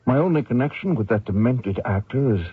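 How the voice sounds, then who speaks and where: An actor playing a haunted American fugitive, grave, earnest and defensive, in an old radio drama. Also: male voice, mid-range voice, animated, brisk, breathy edge, no room sound, phone-quality sound. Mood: pleading. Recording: thin dry old radio recording.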